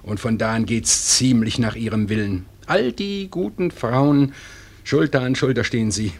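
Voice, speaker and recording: An actor playing a genial, bumbling middle-aged father in a radio play, hesitant and halting, with wry humour. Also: mid-range voice, lively intonation, breathy edge. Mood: wry, amused. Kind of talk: German radio play acting.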